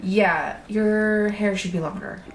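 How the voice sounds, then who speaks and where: Woman playing earnest young female student, audio drama, halting questioning delivery, mid-pitched, roomy sound. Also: steady tone, close to an everyday voice. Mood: hesitant. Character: earnest, hesitant young college student, thief's incredulous friend. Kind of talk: audio drama dialogue, casual and conversational. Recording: roomy echo, faint background noise.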